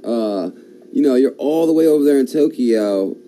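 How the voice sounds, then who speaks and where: A man with a very dark, mellow voice hosts the interview and reacts with eager exclamations of amazement. His pitch is middling, and a faint noise sits under the recording. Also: lively intonation, normal speed. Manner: enthusiastic, frequent exclamations of wow and amazement. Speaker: host. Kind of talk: conversational interview.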